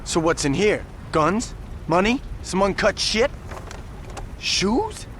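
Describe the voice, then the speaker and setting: Noisy backdrop, callous gangster. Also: male voice, high voice, sing-song, takes it slowly, a bit bright, heavily acted. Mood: taunting. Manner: curt, taunting, swearing. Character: callous, mocking, foul-mouthed male street gangster. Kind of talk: video game cutscene acting.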